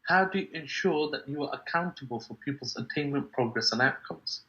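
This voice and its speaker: A man's voice: mid-range voice, animated, fairly quick, fairly bright tone. A man whose first language is Bengali, hosting an interview and rambling with lots of "you know" and "uh". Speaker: podcast host, interviewer. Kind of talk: casual interview chat. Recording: dull lo-fi sound.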